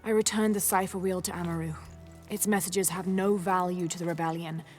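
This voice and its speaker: A woman playing a determined, troubled young female adventurer in a video game cutscene, speaking earnestly and with measured thought. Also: fairly low pitch, fairly even intonation, darkish tone, close to an everyday voice. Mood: solemn. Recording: game cutscene with music behind.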